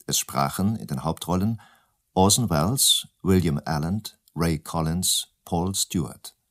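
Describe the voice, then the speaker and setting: A man's voice: sits low, fairly bright tone. A man reading scripted announcements and narration for a radio documentary.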